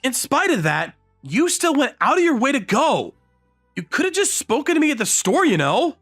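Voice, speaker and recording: Man voicing bashful teenage boy, animated delivery. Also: very high-pitched, moderate pace, faint music behind, full character voice. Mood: flustered. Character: modest, bashful high school boy narrating his romance. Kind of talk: anime-style manga dub acting and narration.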